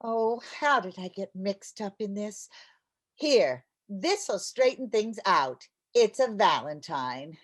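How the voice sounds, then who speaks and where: An actress playing a well-meaning, practical housewife in an old-time radio comedy, wry and easily exasperated. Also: female voice, mid-range voice, expressive, takes it slowly. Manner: wry, emphatic.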